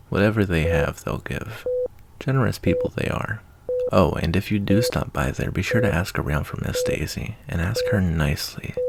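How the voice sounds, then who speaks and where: A man acts out a kindly wasteland doctor for an ASMR roleplay, in a low voice with a gravelly fry. He is soft-spoken, folksy and reassuring, and his tone moves around with easy expression. Loud noise runs behind him. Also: heavily acted.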